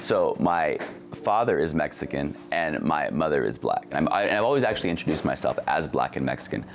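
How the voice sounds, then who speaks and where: A very deep-voiced man talks casually in an interview, stumbling and restarting his sentences as he thinks aloud. Music plays clearly underneath him. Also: lively intonation. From Southern California. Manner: halting, restarts, laughs softly. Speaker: interviewee, comedian.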